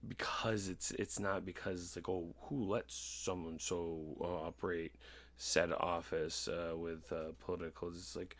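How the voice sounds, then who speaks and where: A man in his thirties in casual podcast conversation, talking candidly in a halting, rambling way. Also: deep voice, flat delivery. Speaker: podcast host. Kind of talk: casual podcast chat about personal experience.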